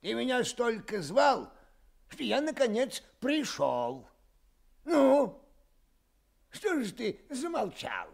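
A man playing a gloomy, taunting old grandfather spirit in an audio fairy tale, creaky and menacing, drawing out his words. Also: extremely high pitch, sing-song, exaggerated voice. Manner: drawn-out, menacing.

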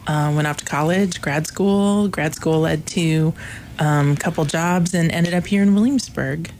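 A woman from Illinois, a theater professor, talks about her career as a radio guest. Her voice is on the low side and loud, and she often laughs between thoughts. Faint room ambience is heard behind her. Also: unhurried, a little hiss or hum. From Illinois, USA. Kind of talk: interview conversation. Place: college radio studio.

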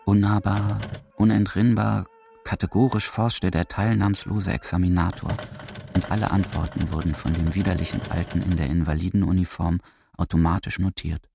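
A man narrating a literary radio drama in a measured, precise and theatrical manner. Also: low voice, steady tone, vocal fry, background noise.

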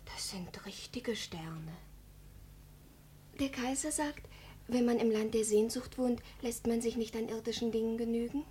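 An actress as a hushed, earnest village child. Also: female voice, on the high side, measured pace, slight background noise, lightly acted. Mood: earnest, loyal. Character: earnest, loyal village child, dreamy storyteller. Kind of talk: German radio play acting.

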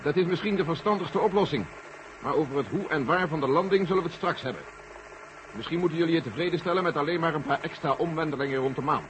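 An actor playing a brusque, authoritative general in a 1970s science fiction radio play. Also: male voice, on the high side, fast talker, natural voice. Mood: decisive, instructing. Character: brusque male American general in charge of space mission. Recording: dull, noisy vintage radio play recording.

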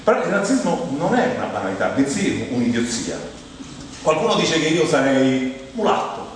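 A man's voice: on the high side, sing-song, moderate pace. A man from Rome with a Roman accent delivers an ironic, conversational comic monologue to a theatre audience, teasing them as he goes. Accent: Roman Italian. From Rome, Italy. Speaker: stand-up monologist, stage performer. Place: theatre stage in a large hall. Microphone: distant microphone. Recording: echoey hall from far off, faint noise.

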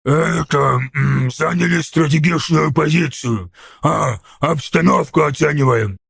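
A man voicing an embarrassed orc warrior in an audiobook, hesitant and full of excuses, with a gravelly voice. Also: fairly high pitch, fairly even intonation, unhurried, dry recording, clean studio sound, full character voice. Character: sheepish male orc warrior, feigning bravery.

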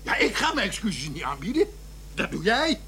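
A bossy fed-up manager in a radio play, high bright voice, blunt and sarcastic. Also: male voice, huge pitch swings, moderate pace, a little hiss or hum, heavily acted. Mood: stern. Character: stern, exasperated male company boss. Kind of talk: radio drama acting.

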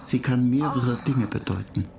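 A man playing a computer data agent in a radio play, matter-of-fact, clinical and measured, calmly pedantic and dryly superior. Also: medium pitch, natural voice. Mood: analytical. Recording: clear noise bed behind the voice.

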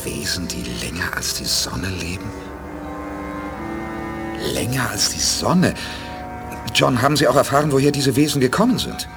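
An actor playing a cool, decisive space expedition leader who speaks with clipped authority in a 1980s German radio play. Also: male voice, medium pitch, lively intonation, moderate pace, pauses often, over music, natural voice. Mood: intrigued.

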